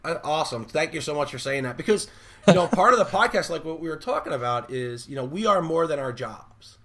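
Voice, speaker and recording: A man in his forties from New York, hosting a podcast over a video call through a studio microphone, enthusiastic and quick to laugh. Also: a little high, lively intonation, fairly bright tone. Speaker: podcast host. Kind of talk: casual video-call interview.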